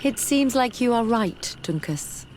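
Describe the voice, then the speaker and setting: A woman's voice: on the high side, expressive, measured pace, darkish tone, slight background noise. An actress playing an earnest, curious young female scholar in a video game, speaking politely and formally. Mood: moved. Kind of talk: video game dialogue acting. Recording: music behind the voice.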